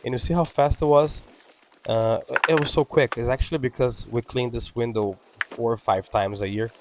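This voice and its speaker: A man whose first language is Portuguese, speaking English with an accent as he talks over his window cleaning video, halting and full of fillers. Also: medium pitch, steady tone, background noise. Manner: halting, many fillers and restarts. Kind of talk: casual talk-over.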